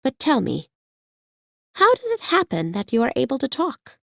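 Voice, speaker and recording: A woman's voice: high voice, wildly swooping pitch, moderate pace, vocal fry, dry recording, clean studio sound, pitched above the performer's own voice, heavily acted. A woman voicing a polite, curious little girl adrift at sea in a storybook reading. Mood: polite wonder. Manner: polite and wondering.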